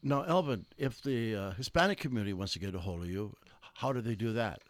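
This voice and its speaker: A man's voice: fairly low pitch, animated, fairly quick, no room sound. A man hosting a casual radio show, joking, laughing easily and asking his guests questions. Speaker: radio host.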